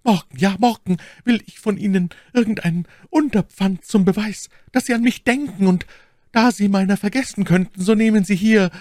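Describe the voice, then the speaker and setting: A man's voice: moderate pace, no room sound, clean studio sound, close to an everyday voice. A man doing a sweet-voiced scheming noblewoman. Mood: honeyed, secretive. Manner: soft falsetto, tender then menacing.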